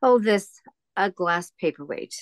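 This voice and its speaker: A woman's voice: medium pitch, lively intonation, measured pace, no room sound, close to an everyday voice. A woman playing a genial, wistful older shopkeeper in an audio drama, chatty and nostalgic.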